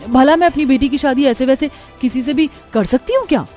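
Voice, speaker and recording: A woman's voice: high-pitched, fairly quick, darkish tone, faint music behind, pitched above the performer's own voice, lightly acted. A woman voicing a melodramatic middle-aged stepmother in a cartoon, scheming and doting, defensive and pleading.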